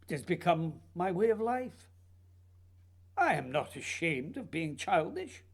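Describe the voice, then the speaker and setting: A man's voice: fairly high pitch, sing-song, a little slow, vocal fry, breathy edge, exaggerated voice. A man playing a whimsical, childlike playwright in a radio play, musing and teasing in a theatrical way. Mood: musing, wistful. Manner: theatrical, musing, playfully urging.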